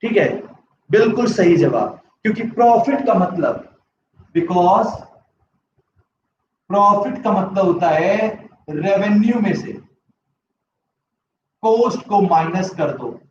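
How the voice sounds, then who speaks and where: A man's voice: high voice, expressive, slow pace, pauses often, slight background noise. A man teaching an economics class online, speaking with a sore throat, mixing English terms into his talk and asking students yes-or-no questions. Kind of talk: live online class lecture. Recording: echoey, distant, slightly dull.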